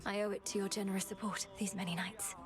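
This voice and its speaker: A woman's voice: medium pitch, level tone, fast, natural voice. A woman voicing an earnest, determined young goddess princess in a video game, with formal, courteous diction. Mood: respectful, resolute. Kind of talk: scripted video game dialogue. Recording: music behind the voice.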